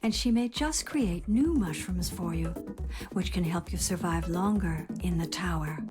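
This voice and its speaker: A woman's voice: lowish voice, close to an everyday voice. A woman voicing an informative guide who reads scripted narration of a video game's lore. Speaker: narrator introducing game lore. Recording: music behind the voice.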